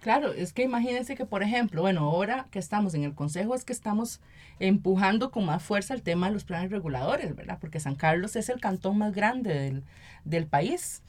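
A woman's voice: medium pitch, animated, a little fast, a little mellow. Costa Rican woman explaining in an interview. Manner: explanatory, often tags statements with "¿verdad?". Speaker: interview guest, local council member and activist. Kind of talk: conversational radio interview.

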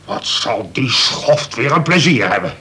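Harassed prison boss colonel in a radio play, blustery, officious, middling pitch. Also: male voice, big pitch swings, unhurried, bright, forceful tone, husky, exaggerated voice. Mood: exasperated. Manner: exasperated, swearing, officious. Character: male prison governor, senior colonel, worried, blustering, cautious. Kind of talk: Dutch radio play acting.

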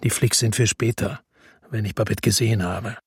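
Husky, weary crime-drama narrator, low and dry. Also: male voice, fairly even intonation, moderate pace, no echo, heavily acted. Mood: quietly insistent. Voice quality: husky, rough. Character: Fabio, weary determined Marseille man, crime story narrator. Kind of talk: German radio crime drama, first-person narration and dialogue.

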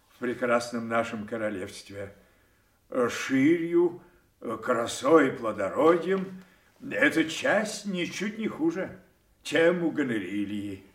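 An actor playing a proud, imperious old king in a solemn, declamatory classical audio drama, quick to rage. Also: medium pitch, lively intonation, roomy sound. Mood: regal, fond.

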